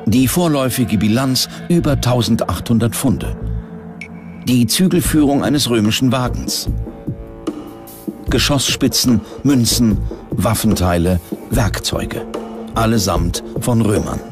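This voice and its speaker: A man reading scripted documentary narration in a measured, dramatic storytelling manner. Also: medium pitch. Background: background music.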